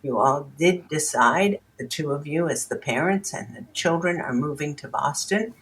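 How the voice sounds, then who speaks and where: An actor plays a calm therapist in an audio drama, speaking very slowly at a medium pitch. The manner is gentle and probing, reflecting feelings back with care. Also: low-quality recording. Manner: measured, gentle, reflective questioning. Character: calm, probing family therapist in a counselling session. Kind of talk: naturalistic audio drama dialogue.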